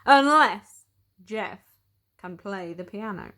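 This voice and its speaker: A woman's voice: medium pitch, expressive, slow, pushed and bright. A woman voicing a strict male doorman in a storybook, formal, firm and apologetically official. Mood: officious. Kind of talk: storybook read-aloud character.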